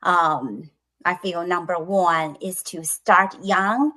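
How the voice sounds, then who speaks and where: Slow, low-pitched woman with a Chinese accent. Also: bright, forceful tone. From China. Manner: slow and deliberate, many ums and pauses. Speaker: guest, children's book creator. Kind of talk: interview conversation.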